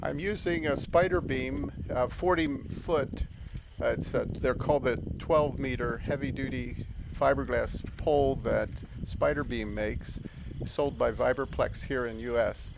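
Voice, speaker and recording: A very noisy recording carries the voice of an older American man. His voice creaks with a gravelly fry at an ordinary male pitch. He narrates casually, like a hobbyist explaining his own outing. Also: fairly even intonation, a little slow.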